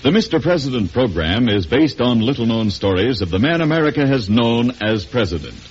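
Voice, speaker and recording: A man's voice: medium pitch, sing-song, fairly quick, breathy edge. A man working as a radio announcer, reading a promotion in a dramatic, formal 1940s style. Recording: muffled vintage radio recording.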